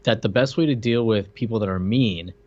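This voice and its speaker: A man's voice: on the low side, fairly quick, a little mellow, lo-fi sound. A man co-hosting a podcast, chatting casually and jokingly about a film with an incredulous manner. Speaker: podcast co-host.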